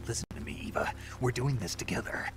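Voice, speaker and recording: An actor playing a gruff, battle-worn American soldier, loyal and urgent, in a video game. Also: male voice, on the low side, sing-song, quick pace, background noise. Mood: pleading. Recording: game audio under loud music.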